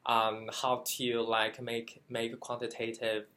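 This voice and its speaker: A man from China whose first language is Chinese, a graduate student answering interview questions carefully, with many fillers and pauses. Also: mid-range voice, monotone, sharp tone.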